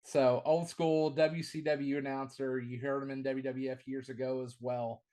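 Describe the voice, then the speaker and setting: A middle-aged man, a wrestling commentator and ring announcer, talking in a casual podcast interview with enthusiasm, often repeating words as he starts a phrase; his voice is not deep but it carries. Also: flat delivery, moderate pace, dark tone.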